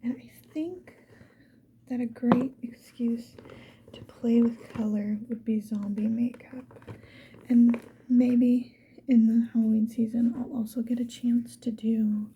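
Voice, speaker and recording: Woman, ASMR whisper, casual unhurried chat, very mellow tone, muffled lo-fi sound, faint hiss. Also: fairly high pitch, little pitch movement. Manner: soft whispering, unhurried musing to the viewer. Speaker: ASMR video creator.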